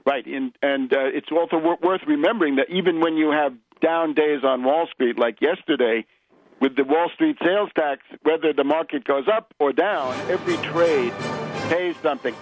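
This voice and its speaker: A fairly high-pitched American man hosts a political radio programme with music behind him. His delivery is measured and halting, carefully setting out details for listeners. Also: lo-fi sound. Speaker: radio show host. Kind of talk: political radio interview and commentary.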